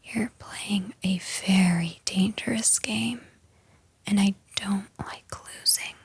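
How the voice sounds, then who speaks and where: A woman performs a possessive yandere girlfriend in an intimate audio drama. She takes her time, taunting and condescending, with a menacing edge under the pet names. Her medium-pitched voice sits in a very dry, close recording. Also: darkish tone, dry recording, heavily acted. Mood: quietly menacing. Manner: slow, taunting, condescending pet names, slipping into breathy whispers. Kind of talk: roleplay audio drama, intimate address to listener.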